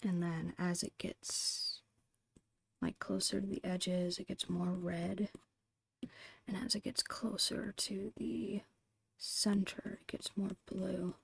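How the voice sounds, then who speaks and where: A woman whispering a casual, gentle ASMR drawing tutorial in a breathy, unhurried voice. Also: medium pitch, flat delivery, no echo. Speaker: ASMR host and digital artist.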